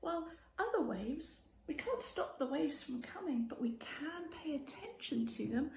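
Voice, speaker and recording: A woman reading a children's story aloud in an expressive way, speaking directly to young listeners and prompting them. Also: high voice, a little slow, vocal fry. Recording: roomy echo with faint background noise.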